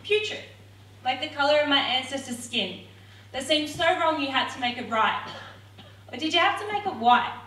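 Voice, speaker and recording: A woman's voice: high-pitched, lively intonation, moderate pace, fairly bright tone, slight background noise. A young woman performing spoken word poetry with a rhythmic, defiant delivery. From Australia. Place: theatre stage. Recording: roomy hall, mic at a distance.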